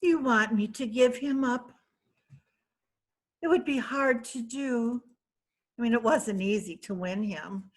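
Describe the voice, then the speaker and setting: A woman's voice: on the high side, slow pace, long pauses. A woman reading the part of a wealthy, worldly woman proud of her new fiancé, in a chatty, self-satisfied way, for a readers theatre play. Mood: uneasy.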